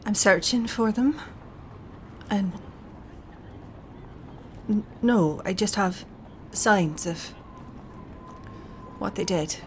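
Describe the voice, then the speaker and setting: A woman voicing an anxious, earnest young elf scout, hesitant and pleading, in a dark fantasy role-playing game. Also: medium pitch, very slow, pauses often, slight background noise. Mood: anxious, insistent.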